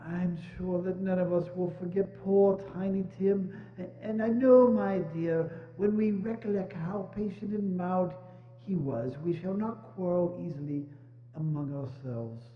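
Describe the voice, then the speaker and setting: Guy doing a soft-hearted sad dad, stammery and meek, stage reading, echoey muffled church sound. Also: sits high, faint music behind. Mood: sorrowful. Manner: stammering, halting, tender. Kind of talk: dramatic reading of a Victorian classic. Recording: muffled, reverberant church hall.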